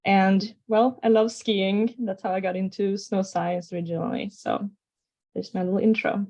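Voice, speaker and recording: A woman with a Swedish accent, a guest scientist introducing herself on a video call in a relaxed, friendly way. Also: medium pitch, normal speed, muffled recording. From Sweden. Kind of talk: casual self-introduction.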